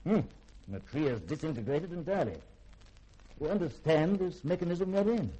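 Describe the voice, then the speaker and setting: A man's voice: fairly high pitch, animated, moderate pace, mellow, slightly rough, muffled recording. A man in a 1950s radio drama playing an erudite, reclusive scholar who speaks in eloquent, formal, lecturing sentences. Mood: commanding, lecturing.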